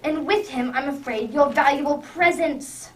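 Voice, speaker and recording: A woman's voice: sits high, moderate pace, natural voice. A woman playing a commanding male detective in a radio drama, grave and declarative as he deduces. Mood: grave, intent. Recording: distant microphone.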